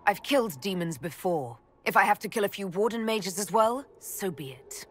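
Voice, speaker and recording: A woman's voice: medium pitch, expressive, normal speed, a bit bright. An actress playing a steely, commanding female leader in a video game, firm and authoritative. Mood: threatening. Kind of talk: video game cutscene acting.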